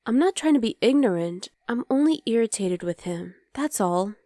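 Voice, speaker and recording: A woman playing a young demon girlfriend in an ASMR roleplay, sweet but exasperated and rebellious, speaking intimately and softly to the listener as her partner. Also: on the high side, animated, normal speed, dry recording, clean studio sound, natural voice. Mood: wistful, confiding. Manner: intimate, soft, often dropping to a whisper.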